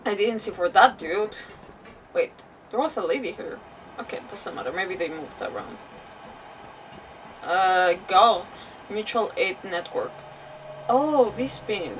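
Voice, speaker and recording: A woman's voice: mid-range voice, animated, slow pace, pauses often, fairly bright tone, recorded from a distance, slight background noise, low-quality recording. A woman streaming a video game with casual live commentary, muttering to herself as she reacts. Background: game music.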